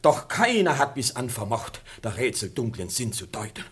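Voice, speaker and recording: An actor playing a humble, anxious, loyal servant who pleads and warns in a theatrical radio play. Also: male voice, mid-range voice, sing-song, moderate pace, slight background noise, lightly acted. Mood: urgent, grim. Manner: imploring, dramatic, deferential.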